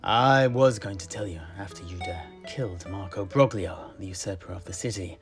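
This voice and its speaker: A man playing a tavern keeper priest in a fantasy tale, recounting his adventures with deliberate, theatrical phrasing and hushed emphases. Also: deep voice. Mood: confiding, dramatic. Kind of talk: in-character fantasy storytelling. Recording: music behind the voice. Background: plucked guitar music.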